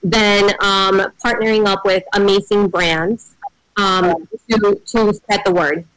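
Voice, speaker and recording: A woman's voice: mid-range voice, fairly even intonation, takes it slowly, a bit bright, no echo, poor audio. A woman podcast co-host chatting casually on a remote call, hesitant with many fillers. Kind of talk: casual remote interview chat. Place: Miami area, remote call.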